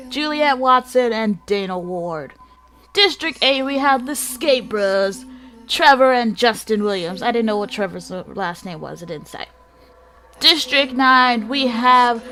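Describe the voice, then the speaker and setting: High-voiced woman gaming host over music. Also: a bit bright. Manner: theatrical, mock-dramatic announcing mixed with offhand asides. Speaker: host of a gaming channel series. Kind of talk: casual gaming commentary with swearing. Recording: music behind the voice.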